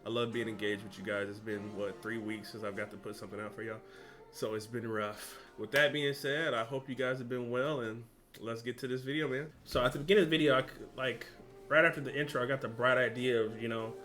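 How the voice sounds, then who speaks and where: A young man talks through a reaction video in loose, slangy chat, breaking into loud excited exclamations. His voice sits at an ordinary middle pitch. Music plays clearly underneath him. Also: brisk. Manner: excitable, slangy, exclaims loudly at what he sees. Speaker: reaction channel host. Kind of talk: casual reaction commentary.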